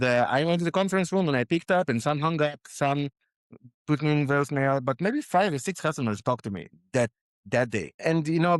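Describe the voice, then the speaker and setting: Smooth-voiced man telling founder stories. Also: mid-range voice, dry recording. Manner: animated anecdotes with fillers and repeated words. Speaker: guest, company founder. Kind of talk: conversational storytelling.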